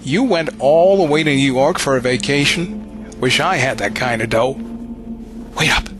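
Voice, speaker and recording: An actor playing a tough army sergeant, blunt and streetwise with casual slang. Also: male voice, medium pitch, moderate pace, close to an everyday voice. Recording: audio drama with music underneath.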